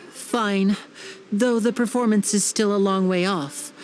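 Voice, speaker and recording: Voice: mid-range voice, fairly even intonation, slow pace, a little hiss or hum, lightly acted. A voice actor playing a polite, showy young stage magician in a game cutscene dub. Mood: gentle. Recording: game score underneath.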